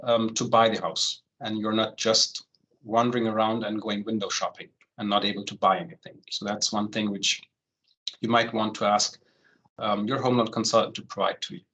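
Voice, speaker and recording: A man in his forties who grew up in Germany, a home loan consultant giving an online presentation in a relaxed way, full of "um" fillers and the tag "right?". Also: lowish voice, moderate pace, low-quality recording. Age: 40s. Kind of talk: online presentation, walking through steps.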